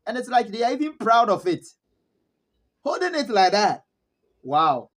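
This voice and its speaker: High-voiced Ghanaian man vlogging. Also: big pitch swings, unhurried, a bit bright. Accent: Ghanaian English. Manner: chuckles often, repeats words for emphasis. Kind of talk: casual storytelling to camera.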